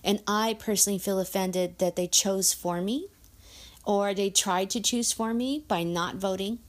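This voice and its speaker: A woman's voice: mid-range voice, steady tone, unhurried. A woman giving a frank, earnest personal monologue, thinking aloud and restarting her sentences as she goes. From United States.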